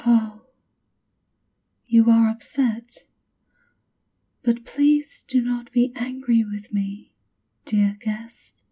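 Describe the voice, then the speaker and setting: Gothic vampire's maid played by a woman, very dark soft tone, higher-pitched, breathy whisper, formal, subservient, ASMR roleplay. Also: fairly even intonation, full character voice. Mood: gently menacing. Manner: formal, measured, polite.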